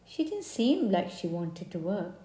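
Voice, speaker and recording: A woman's voice: medium pitch, very animated, unhurried, mellow. A woman storyteller reading a scripted bedtime story for children. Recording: echoey, roomy voice recording.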